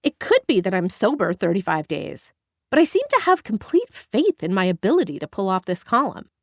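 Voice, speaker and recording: A woman's voice: medium pitch, no room sound, studio quality. Wry woman narrating a memoir. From Northern California. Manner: wry, conversational storytelling. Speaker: audiobook narrator. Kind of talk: first-person memoir narration.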